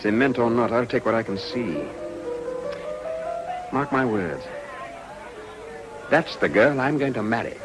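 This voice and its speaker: A man playing an eager, ambitious upper-class gentleman in a period radio drama, confident and courtly. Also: mid-range voice, unhurried, long pauses, loud music behind the voice, a loud crowd, lightly acted, low-quality recording.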